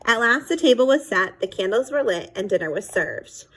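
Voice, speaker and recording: A woman reading a children's chapter book aloud expressively, pausing to explain phrases to young listeners. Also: medium pitch, animated, a bit bright, breathy edge. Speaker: read-aloud storyteller.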